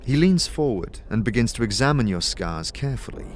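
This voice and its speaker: A man narrating a scripted fantasy audio drama in measured storytelling style. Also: mid-range voice, sing-song, slight background noise. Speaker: narrator and player character.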